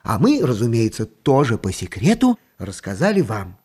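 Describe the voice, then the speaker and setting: An actor playing the author, a confiding storyteller with a husky voice narrating a radio play. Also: male voice, mid-range voice, wildly swooping pitch, normal speed, darkish tone.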